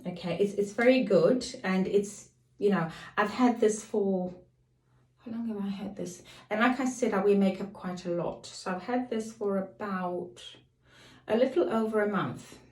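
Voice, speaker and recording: A middle-aged woman with a slightly croaky voice, casually chatting to camera as a lifestyle vlogger, rambling off on tangents. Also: medium pitch, moderate pace, a little mellow. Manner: rambling, digresses and apologizes. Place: home. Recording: echoey room.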